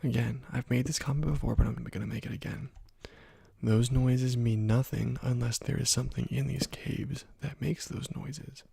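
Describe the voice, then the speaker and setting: A man giving soft-spoken ASMR gaming commentary in a hushed, breathy, partly whispered voice, casual and musing, close to the microphone. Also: mid-range voice, fairly even intonation, normal speed. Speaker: gaming video host.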